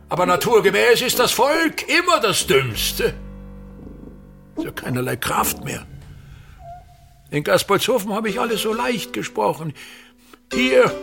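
A bitter old thespian in a radio drama, grandiose and domineering. His gravelly delivery is slow and swings widely as he declaims his complaints. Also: sits high, heavily acted. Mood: declaiming, self-critical. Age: older. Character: pompous, embittered old touring stage actor, tyrannical father. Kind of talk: radio play monologue.

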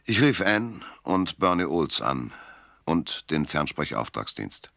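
An actor playing a cynical private detective in a German radio crime drama, narrating and talking in a dry, laconic, sardonic way. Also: male voice, a bass voice, big pitch swings, slow, creaky, a bit bright, no room sound, full character voice. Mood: dry, matter-of-fact. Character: hardboiled, cynical male private detective, narrator of his case.

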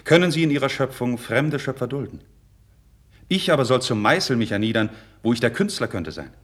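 A man's voice: medium pitch, animated, brisk, breathy edge. An actor playing an idealistic, proud young nobleman in a classical radio drama, with earnest, declamatory, formal diction. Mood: impassioned. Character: idealistic young nobleman, passionate, proud, candid before his king. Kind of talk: 1950s German radio drama, classical verse acting.

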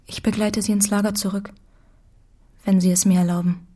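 An actress playing a steadfast, composed young woman in a literary radio drama, soft, earnest and formal. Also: medium pitch, little pitch movement, moderate pace, no room sound, natural voice.

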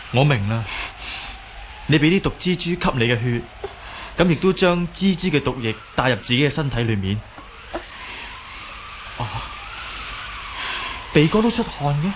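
An actor playing a concerned, good-natured young martial arts hero in a Cantonese radio drama, earnest and hesitant. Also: male voice, medium pitch, lively intonation, measured pace, pauses often, lightly acted. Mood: amazed, concerned. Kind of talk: Cantonese audio drama acting. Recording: clearly noisy background.

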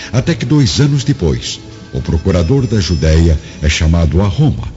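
A man's voice: low voice, a little mellow. A man with a Brazilian accent giving solemn, theatrical scripted narration for a radio drama. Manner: solemn, theatrical, measured enunciation. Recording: dull lo-fi recording, music behind.

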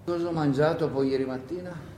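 A man's voice: a little high, fairly even intonation, brisk, dark tone, close to an everyday voice. In a roomy, echoing space with faint noise, a man acts out a tired wartime partisan alone with his thoughts. He mutters, exclaims and cuts himself short in a theatrical radio monologue, worn down and hungry. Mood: rambling, weary. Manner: rambling, muttering, self-interrupting, exclaiming.

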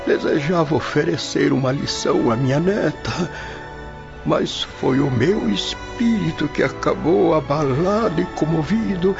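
Slow, creaky old Roman patriarch. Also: male voice, a little high, full character voice. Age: older. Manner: slow, solemn, declamatory. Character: anguished, devout old Roman patriarch, a grandfather. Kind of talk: radio drama acting. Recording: dull lo-fi audio under music.

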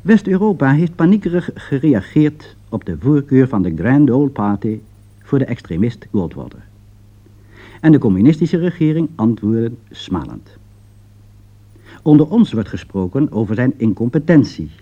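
A man's voice: mid-range voice, very animated, normal speed, warm tone. A man with a Netherlands Dutch accent reads a scripted radio commentary in a formal, earnest, rhetorical way. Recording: dull vintage 1960s radio recording.

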